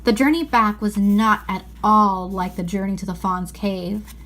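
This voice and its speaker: A woman's voice: mid-range voice, steady tone, unhurried, fairly bright tone. A woman reading a children's story aloud, sometimes stumbling over a phrase and repeating it. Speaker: storybook reader.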